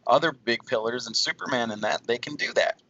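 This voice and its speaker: A man of medium pitch debates casually as a podcast co-host. He pushes back and argues his point in loose, conversational phrases. The recording is slightly dull and lo-fi. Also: fairly quick, bright, forceful tone. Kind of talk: casual movie debate among friends.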